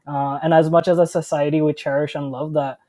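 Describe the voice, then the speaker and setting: A man's voice: fairly high pitch, little pitch movement, fairly quick, dark tone, no room sound. A young man from India talking casually as a startup founder guest, chatty with many fillers and restarts. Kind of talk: casual interview.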